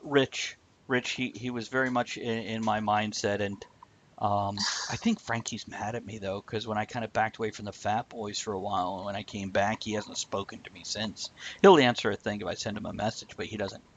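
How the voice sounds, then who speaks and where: A man's voice: fairly low pitch, steady tone, a little fast, fairly bright tone, slight background noise. A man hosting a live stream in casual, rambling chat, blunt and joking with some swearing.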